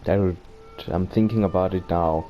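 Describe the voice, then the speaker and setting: A man's voice: sits low, fairly even intonation, normal speed, muffled recording. A man giving casual commentary on a game playthrough, musing in a loose, informal way. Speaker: gaming video host.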